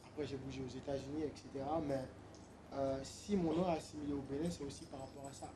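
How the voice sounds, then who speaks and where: A man's voice: mid-range voice, fairly even intonation, quick pace, noisy background. A young man from Benin, a musician guest, talking casually in an interview with many hesitant fillers.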